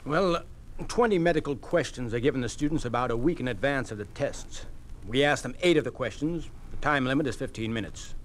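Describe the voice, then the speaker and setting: An American man acting a brisk, harried hospital doctor in a 1950s radio drama, on a noisy old recording. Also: medium pitch, lightly acted.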